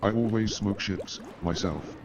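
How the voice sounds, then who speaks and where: A man playing a polite, reserved gentleman in a staged radio play, measured and formal in his speech. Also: on the low side, crowd noise, natural voice. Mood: amiable, dry. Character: polite, reserved gentleman with shaken nerves, seeking lodgings. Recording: muffled and noisy, watery background sound.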